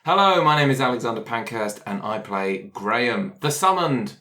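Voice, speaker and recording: Lively man in casual conversation. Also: medium pitch, normal speed. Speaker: podcast cast member. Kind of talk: casual chat.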